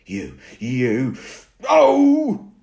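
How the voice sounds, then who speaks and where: A man's voice: lowish voice, big pitch swings, fast talker, creaky, voice pushed up. A man voicing a young boy, the vampire's assistant, in a raised, strained voice that blurts things out. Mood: outraged.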